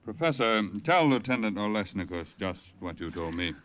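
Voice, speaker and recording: An actor playing a measured, authoritative army colonel in a 1950s radio drama. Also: male voice, fairly low pitch, big pitch swings, slight background noise. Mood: measured, probing. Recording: old 1950s radio broadcast.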